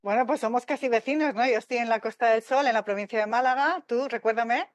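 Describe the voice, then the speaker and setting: A woman's voice: fairly high pitch, fast talker. A woman from Spain, a tennis mental coach, chatting animatedly in an interview over a video call from home. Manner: chatty, animated, laughs easily. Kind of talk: casual interview talk. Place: home by the sea.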